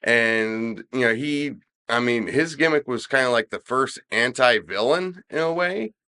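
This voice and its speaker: A man's voice: medium pitch, measured pace, pushed and bright, clear, resonant tone. Stuffy-nosed man in casual chat. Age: middle-aged. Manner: rambling, with many restarts and fillers. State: stuffy nose, slightly nasal. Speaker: podcast co-host discussing wrestling.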